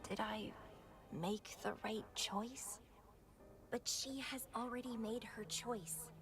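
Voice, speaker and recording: A woman voicing a proud, unstable, haunted young woman in a video game, with breathy, theatrical delivery. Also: a little high, steady tone, slow. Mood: solemn, defiant. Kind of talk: dramatic video game voice acting. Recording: game music behind the voice.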